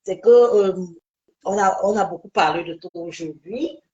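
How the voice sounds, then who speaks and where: A woman hosting a casual social media live, insistent and quick to cut in. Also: medium pitch, darkish tone, lo-fi sound. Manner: insistent, interrupting. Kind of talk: casual animated chat. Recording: echoey room sound.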